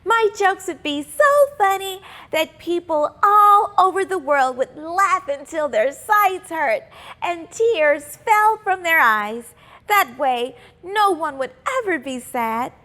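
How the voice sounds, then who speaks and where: A woman's voice: very high-pitched, expressive, slow, pushed and bright, voice pushed up, exaggerated voice. A woman voicing an eager, kind and imaginative young schoolgirl from a children's storybook, wondering and exclaiming as she thinks aloud. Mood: excited, wondering.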